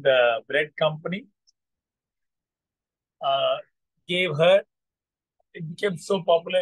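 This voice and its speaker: A man with an Indian accent hosting as a coach, telling motivational stories in a halting, repetitive, encouraging way. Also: on the high side, fairly bright tone, no room sound, poor audio. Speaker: coach and host. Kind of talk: motivational storytelling to an online group.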